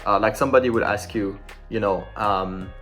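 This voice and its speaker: Animated man teaching French tips. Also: on the low side, normal speed, soft background music. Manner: animated and informal, acting out sample dialogues. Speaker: French language tutor. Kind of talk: casual explanatory lesson.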